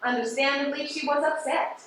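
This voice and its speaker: An actress playing a loyal postal supervisor under interrogation on stage, earnest, halting and defensive in manner. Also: female voice, high-pitched, fairly even intonation, a bit bright. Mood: guarded. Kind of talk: stage play acting. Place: theater stage. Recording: very echoey, distant, noisy stage recording.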